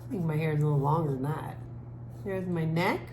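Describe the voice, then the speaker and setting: A woman giving a casual art lesson for children, musing gently aloud as she draws. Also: sits low. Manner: gentle, musing, talks while drawing with trailing pauses. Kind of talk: casual creative drawing lesson, thinking aloud. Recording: echoey room with clear background noise.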